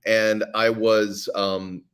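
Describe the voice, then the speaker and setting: A man's voice: lowish voice, flat delivery, very slow, a bit bright, smooth. A man, a podcast guest, talking in a casual interview with many fillers and restarts.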